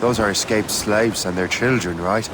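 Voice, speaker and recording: Skeptical young male assassin, played by a man, lowish voice, blunt, loud sea and wind behind. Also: moderate pace, close to an everyday voice. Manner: questioning, blunt. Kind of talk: video game dialogue.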